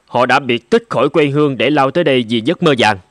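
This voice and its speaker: A man's voice: mid-range voice, fast talker, no echo, natural voice. Man as a weary prospector, dry close sound. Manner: complaining. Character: weary, grumbling male gold prospectors. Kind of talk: cartoon dialogue.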